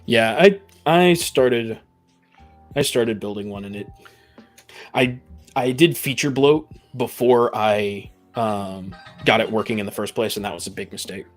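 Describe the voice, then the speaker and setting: A man's voice: lowish voice, a little slow, faint music behind. A man in a casual podcast discussion, speaking in hesitant, stop-start phrases. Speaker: podcast panelist. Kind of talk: casual chat.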